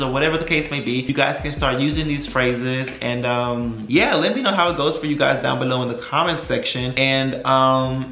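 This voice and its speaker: A man's voice: medium pitch, fairly bright tone. Young man teaching phrases in an echoey room. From Texas. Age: young adult. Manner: repeats phrases slowly, drawn out, with many "right?" checks. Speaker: Spanish teacher. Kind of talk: casual video lesson. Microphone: camera mic.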